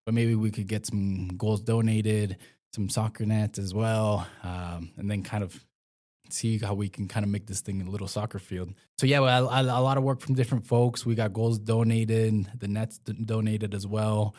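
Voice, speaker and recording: Low-voiced man with a halting delivery. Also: flat delivery, a little mellow. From Mexico. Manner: hesitant, many fillers and restarts. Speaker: community health worker and former pro soccer player. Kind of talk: casual interview conversation.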